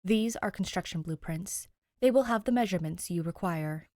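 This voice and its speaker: Lady doing a haughty formal teen boy, clipped and low, story character, dry recording. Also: moderate pace, darkish tone, no echo, close to an everyday voice. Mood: stern, instructing.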